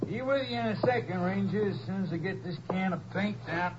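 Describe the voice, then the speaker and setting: Cast: actor playing a fairly high, breezy shopkeeper, muffled old radio with loud music under it. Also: male voice, fairly quick, lightly acted. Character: affable small-town shopkeeper man. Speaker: hardware store clerk. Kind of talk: 1950s radio drama acting.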